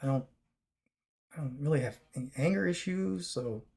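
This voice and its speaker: A man with a raspy, chesty voice, recovering after a long fast, talking casually to his viewers in a rambling way with many fillers and restarts. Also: fairly high pitch, mellow. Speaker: vlogger. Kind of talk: casual talk to camera.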